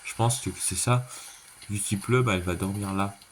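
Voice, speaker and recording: Low-voiced man reacting casually, noisy recording. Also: moderate pace. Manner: slangy, repetitive, thinking aloud. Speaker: reaction video creator. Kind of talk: casual live reaction commentary. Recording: clearly noisy background.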